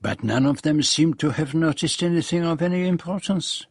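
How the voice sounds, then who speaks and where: An actor playing a famous foreign detective in a radio drama, with a French accent, precise, courteous, self-assured and dryly witty. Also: male voice, a little high, normal speed, slightly rough, no room sound, clean studio sound. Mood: dryly amused. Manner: precise, courteous, sprinkles speech with French phrases. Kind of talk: radio drama dialogue and narration.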